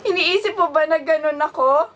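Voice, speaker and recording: A woman acts out a wronged wife and mother in an amateur radio drama. Her voice sits very high and carries raw hurt and anger. There is light noise behind her. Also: a little fast, a bit bright. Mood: furious.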